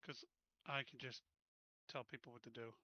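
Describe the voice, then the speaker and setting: A man's voice: medium pitch, level tone, normal speed, sharp tone, dry recording, muffled recording. A man playing a tabletop roleplaying game, giving blunt, directive instructions in casual table talk.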